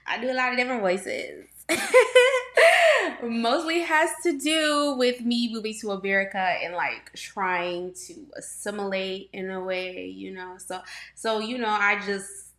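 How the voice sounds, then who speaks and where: A woman's voice: medium pitch, a little slow, fairly bright tone. A young woman from Trinidad and Tobago chats her way through a reaction video, circling back with fillers and restarts. Her voice sits mid-range for a woman. The room around her adds a noticeable echo. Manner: chatty, rambling, many fillers and restarts, frequent laughs. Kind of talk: casual reaction commentary. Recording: roomy echo.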